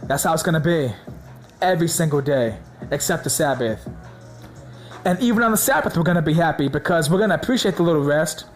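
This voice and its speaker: A man preaching in a casual, slangy way, hoarse from a sore throat, excited and repeating words for emphasis. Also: fairly high pitch, a little fast, soft background music. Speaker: religious video host.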